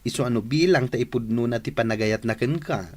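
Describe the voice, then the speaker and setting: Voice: mid-range voice, fairly quick, lightly acted. A performer playing a teasing, nosy close friend in a radio drama, with an Ilocano accent.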